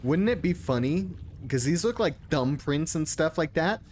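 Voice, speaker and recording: A man's voice: fairly high pitch, expressive, moderate pace, darkish tone, slight background noise. A young adult man giving casual, crude, joking live commentary as he plays a game. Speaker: streamer playing a game.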